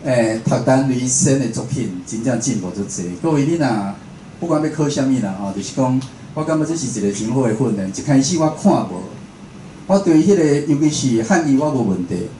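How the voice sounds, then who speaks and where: Casting: male radio host, Taiwanese accent, mellow tone, chatty lecture delivery, roomy sound with faint noise. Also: medium pitch, expressive, fairly quick. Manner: conversational, asks the listeners rhetorical questions. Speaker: radio host and station director giving a talk. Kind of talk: informal spoken lecture. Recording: echoey room with faint background noise.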